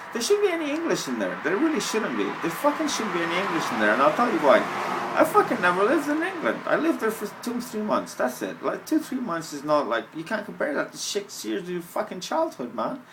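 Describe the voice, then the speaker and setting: A man's voice: sits high, huge pitch swings, a little fast, noisy background. Rambling young man, wildly swooping voice. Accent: Scottish-tinged. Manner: profane, stream-of-consciousness, laughs at himself. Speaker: vlogger. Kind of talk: rambling monologue to camera. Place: outdoors at a bus stop, then walking. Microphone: camera mic.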